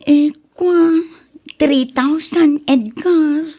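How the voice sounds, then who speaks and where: A woman performs a coaxing, scheming young woman in a radio drama. The voice sits high, with an Ilocano accent and a wheedling manner that suits someone fond of money and gambling. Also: drawn-out words, dark tone.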